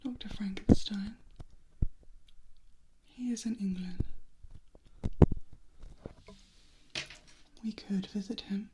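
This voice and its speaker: An ancient female vampire acted by a woman speaks in a breathy whisper at a medium pitch for a soft ASMR roleplay. She is tender and protective, with a formal, motherly way of talking and a distrust of humans. There is clear background noise in the close recording. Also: steady tone, unhurried, natural voice. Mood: gently teasing.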